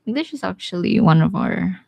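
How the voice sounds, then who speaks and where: A young woman with a Bisaya Filipino accent who teaches watercolor live, talking casually and thinking aloud as she paints, with some quiet asides. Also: fairly low pitch, sing-song, brisk, gravelly, no room sound. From Philippines. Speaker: watercolor artist streaming live. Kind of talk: live painting tutorial with chat replies.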